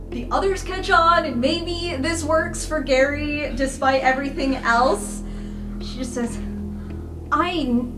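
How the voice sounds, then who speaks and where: A woman playing a tabletop role-playing game, improvising narration and dialogue in halting, thoughtful phrases. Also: sits high. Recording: roomy, distant mic under prominent music.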